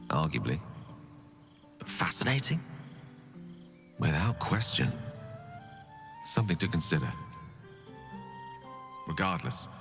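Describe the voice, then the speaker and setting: An actor playing a proud, newly ascended wizard god of ambition, pompous and eloquent, in a dramatic video game. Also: male voice, on the low side, big-room sound.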